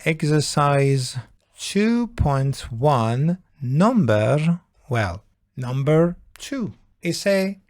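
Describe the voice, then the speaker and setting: A man teaching English grammar at a slow, deliberate pace, explaining each exercise patiently and repeating key phrases. His voice sits at a middle pitch and sounds close and dry, with almost no room echo. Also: creaky, darkish tone. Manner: slow, repetitive, patient explaining with non-native slips. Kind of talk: grammar lesson walkthrough.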